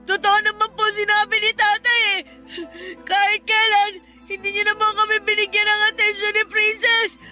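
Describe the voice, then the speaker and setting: A woman's voice: very high voice, flat delivery, moderate pace, pushed and bright, faint music behind, exaggerated voice. A woman voicing a young son who cries and begs his parents to stop fighting. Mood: crying. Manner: crying, polite pleading. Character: tearful young son, guilty and pleading. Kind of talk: audio drama acting.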